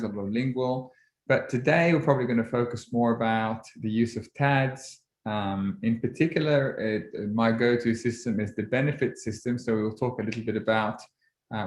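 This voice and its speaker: A man in his forties, originally from Egypt, giving an orthodontics webinar lecture in a measured way with frequent ums and restarts. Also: mid-range voice. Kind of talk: relaxed presentation over slides. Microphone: computer mic on a video call. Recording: roomy video-call audio.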